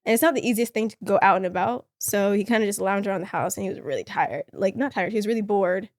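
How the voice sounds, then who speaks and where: A young woman whose first language is Somali, chatting as a podcast guest in a soft, easygoing way. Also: medium pitch, quick pace, dry recording, studio quality. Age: young adult. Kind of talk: casual podcast conversation.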